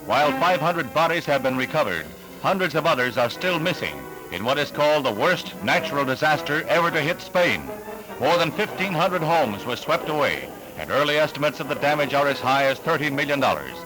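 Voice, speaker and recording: A man's voice: medium pitch, low-quality recording. An American man reading scripted 1960s newsreel narration in an emphatic, formal announcer delivery, on a vintage soundtrack. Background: music under the voice.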